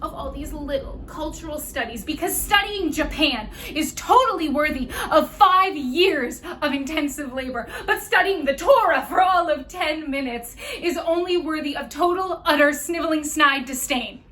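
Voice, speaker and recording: A woman's voice: high-pitched, expressive, measured pace, a bit bright. A woman acting a scathing, sarcastic, self-righteous young woman in a theatrical monologue, with biting mockery and emphatic stresses. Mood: sarcastic, indignant.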